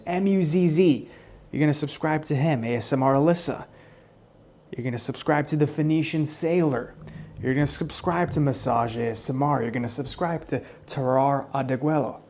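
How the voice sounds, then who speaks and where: A man doing an ASMR roleplay, speaking softly in a teasing, mock-menacing way and sometimes dropping into whispers. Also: on the high side, gravelly. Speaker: ASMR channel creator. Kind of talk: ASMR roleplay, soft-spoken.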